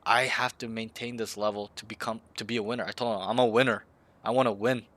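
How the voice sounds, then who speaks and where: A man's voice: fairly low pitch, fairly even intonation, fast, a bit bright. A man coaching a player over voice chat, talking directly, acting out team callouts and swearing casually. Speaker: game coach.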